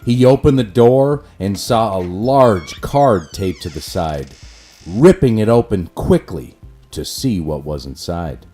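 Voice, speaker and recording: Male storyteller, children's rhyming narration, warm mellow tone, animated intonation, measured delivery, light music behind. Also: lowish voice.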